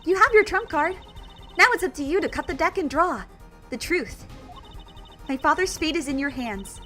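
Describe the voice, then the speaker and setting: A woman's voice: high-pitched, lively intonation, normal speed, pushed and bright, soft background music, voice pushed up. A woman voicing a cheerful teenage girl stage magician who speaks in a theatrical, mysterious way. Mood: earnest.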